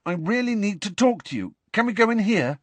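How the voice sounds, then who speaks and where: A man's voice: on the high side, lively intonation, a little slow, fairly bright tone, no echo, voice pushed up, heavily acted. A man voicing an urgent, stubborn teenage boy wizard in an audiobook, insistent and full of emphatic questions. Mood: pleading. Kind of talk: audiobook character voice.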